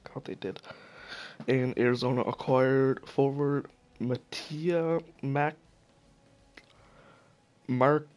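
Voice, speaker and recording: A man giving casual, profane solo commentary while slowly losing his voice, stumbling over names as he goes. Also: mid-range voice, steady tone, darkish tone. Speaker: hockey video commentator.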